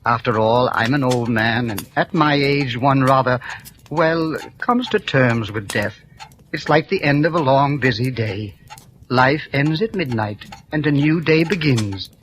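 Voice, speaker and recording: Here is a frail, lonely old gentleman in a vintage radio play, polite and a little hesitant, sometimes stumbling over his words. He has a middle-pitched voice. A steady hiss runs under him from the old broadcast recording. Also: measured pace. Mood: mild. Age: older. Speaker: elderly insurance policyholder. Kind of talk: 1940s radio drama acting.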